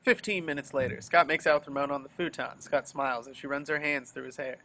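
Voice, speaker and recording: A man reads scene descriptions as a scripted narrator. His voice is bright and forward, a little high for a man, in the setting of an amateur table read. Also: fairly even intonation, fast talker. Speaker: reader of stage directions. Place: remote video call.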